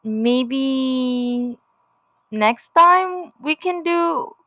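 A woman's voice: high voice, expressive, drawn-out words, sharp tone, dry recording. A young woman from the Philippines with a Filipino accent, co-hosting a casual couple podcast, giggly and repeating words as she starts a thought. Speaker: podcast co-host.

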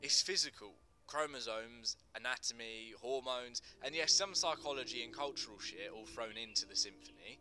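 A man performs an impassioned Teddy Boy gangster in an audio drama. The voice is very bright and forceful, rising with emphasis as he explains and urges, with music behind it. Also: medium pitch, normal speed, few pauses. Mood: explaining passionately. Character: earnest Teddy Boy gangster, passionate non-binary teacher. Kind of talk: dramatic screenplay reading.

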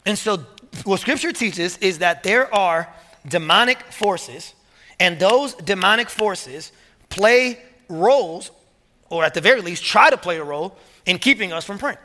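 A man preaching a sermon as pastor to his church, energetic and emphatic, often repeating words as he builds to strong points. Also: high-pitched, measured pace. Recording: long hall reverb.

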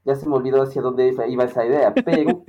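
A man's voice: medium pitch, fairly even intonation, quick pace, muffled recording, over a noisy crowd. A man with a Mexican accent chatting casually as a podcast co-host, rambling and searching for his words. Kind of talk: casual conversation.

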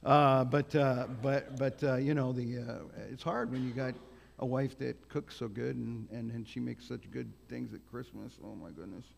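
A man with a big voice preaches at a church. He talks loosely, with many fillers and restarts. His voice has a gravelly edge, and the hall rings with long reverb. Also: medium pitch, fairly even intonation, moderate pace, a little hiss or hum. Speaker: guest preacher. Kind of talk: sermon. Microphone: microphone on a sound system.

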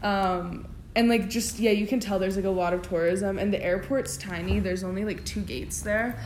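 A woman's voice: mid-range voice, steady tone, moderate pace, darkish tone, reverberant, slight background noise. A young woman co-hosting a casual podcast, chatting loosely with lots of fillers. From United States. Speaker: podcast co-host. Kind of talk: casual chat.

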